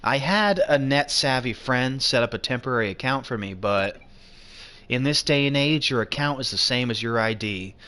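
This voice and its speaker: A man's voice: mid-range voice, lively intonation, normal speed, bright, forceful tone, smooth. A man narrating as a subdued teenage boy in a game. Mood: glum.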